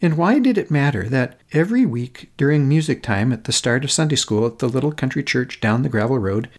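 A middle-aged man reads a reflective personal essay. His mid-pitched voice moves through a lively range of intonation. He is earnest and contemplative, setting out short, deliberate phrases like a thoughtful podcast host. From Minnesota, United States.

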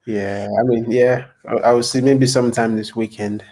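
A man from Nigeria, a podcast guest, talking thoughtfully with many pauses and restarts. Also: medium pitch, fairly even intonation, darkish tone. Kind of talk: casual interview chat.

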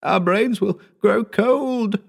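A man voicing a hysterical, terrified man who gibbers and sobs in a shrill, quavering voice. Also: normal speed. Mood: sobbing. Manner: gibbering, frantic.

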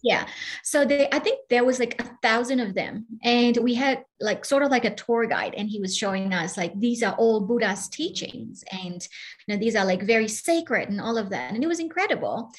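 Higher-pitched woman from Burma telling her story. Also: lively intonation, moderate pace. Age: 30s or older. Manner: conversational, quotes imagined dialogue, says "like" often. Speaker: podcast guest, coach and entrepreneur. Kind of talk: casual personal storytelling in an interview.

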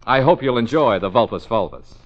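A wry private detective from a 1940s radio play, acted by a man. He takes charge briskly and commands the people around him, his medium-pitched voice swinging with lively inflection. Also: highs cut off, soft background music, natural voice. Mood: smooth, sly. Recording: vintage radio drama recording.

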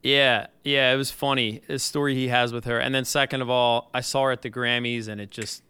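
A man hosting a podcast in casual chat, telling stories in an animated way and mouthing drum parts. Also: mid-range voice, brisk, clean tone. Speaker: podcast host. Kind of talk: casual chat about drumming.

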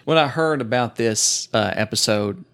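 A man's voice: mid-range voice, moderate pace, a bit bright. A man from Oklahoma with an Oklahoma accent, co-hosting a casual podcast and rambling in mock irritation. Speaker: podcast co-host.

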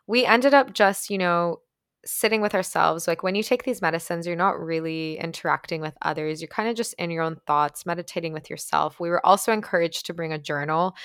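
A woman from Ukraine, raised speaking Ukrainian and Russian, talks in a casual, earnest, effusive storytelling style. Her voice sits a little low and is smooth and clear. The recording is close and dry, like a personal vlog. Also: a bit bright, no room sound. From Ukraine, later moved to Canada. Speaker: vlogger sharing personal experiences. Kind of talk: casual reflective monologue storytelling.

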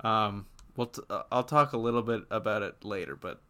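A man co-hosting a gaming podcast, chatting casually in an opinionated, halting way as he rephrases his thoughts. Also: on the low side, pushed and bright, clean tone. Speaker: podcast co-host.

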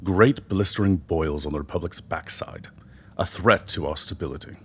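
A deep-voiced, silver-tongued older mentor. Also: male voice, slightly rough. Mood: scornful, confident. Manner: eloquent, measured, grandiloquent. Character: older male mentor doctor, silver-tongued, persuasive political strategist. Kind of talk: radio drama acting. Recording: noticeable background noise.

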